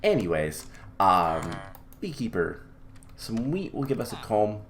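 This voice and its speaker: A lower-pitched man talks through his game at an easy, rambling pace, filling gaps with ums. His voice sounds slightly off because he is coming down with a cold. Quiet keyboard typing sits faintly under the home recording. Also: unhurried, slight background noise. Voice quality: a little off from illness. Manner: relaxed and chatty with many ums. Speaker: gameplay commentator. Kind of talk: casual let's-play commentary.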